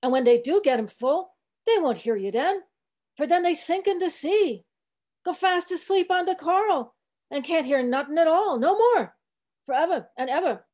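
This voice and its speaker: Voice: high voice, animated, normal speed, a little mellow. A woman voicing a grumbling, weary ninety-year-old male ship's cook in an audiobook, sullen and testy, speaking in dialect. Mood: resigned grumbling. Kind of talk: literary audiobook character reading.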